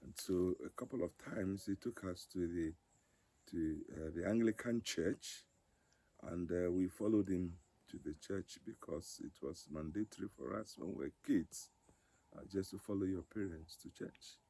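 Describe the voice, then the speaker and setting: Deep, husky older man speaking slowly. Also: dark tone, no room sound. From Ghana. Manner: measured, with frequent pauses. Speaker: regional ministry director. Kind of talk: interview testimony.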